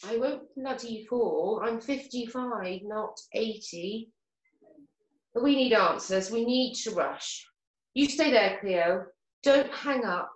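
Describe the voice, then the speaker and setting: Woman acting a slow, worried mother, roomy sound. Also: on the high side. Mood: determined, anxious. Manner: emotional, hesitant, blunt swearing. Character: worried, regretful, sweary mother of fifty-five. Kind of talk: stage drama scene acting. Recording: roomy echo.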